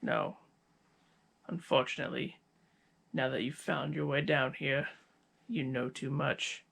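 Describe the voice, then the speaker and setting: A man voicing a smug, menacing scientist villain in an ASMR roleplay, gravelly and husky, dropping toward whispers, with theatrical taunting pauses. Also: mid-range voice, steady tone, a bit bright, heavily acted. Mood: coldly threatening.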